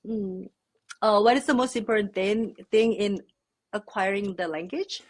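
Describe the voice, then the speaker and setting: A young Korean woman, a native Korean speaker, answering interview questions in a relaxed, thoughtful way with many fillers. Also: medium pitch, normal speed. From South Korea. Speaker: podcast guest. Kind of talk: casual interview chat.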